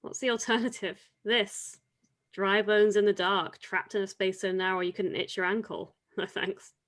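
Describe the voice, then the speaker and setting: A woman acting as a devout island woman in an audio drama. She teases with dry wit and speaks reflectively, in a middle-pitched voice. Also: fairly even intonation, normal speed, natural voice. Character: wry, devout island woman, teasing grave-robbing companion. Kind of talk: audio drama table read.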